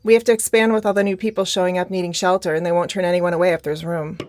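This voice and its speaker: A woman's voice: lowish voice, flat delivery, rapid-fire, a little mellow, dry recording, clean studio sound, close to an everyday voice. An actress playing an observant, practical woman who plans for a survivors' safe zone, familiar and teasing, in an audio drama. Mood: friendly, matter-of-fact. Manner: familiar, teasing, matter-of-fact.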